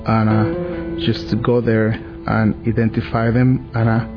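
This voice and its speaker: A man with a Mexican accent giving a recorded testimony, speaking in a quiet, halting, matter-of-fact way. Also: fairly low pitch, flat delivery. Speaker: church member giving testimony. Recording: lo-fi video with music underneath. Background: music.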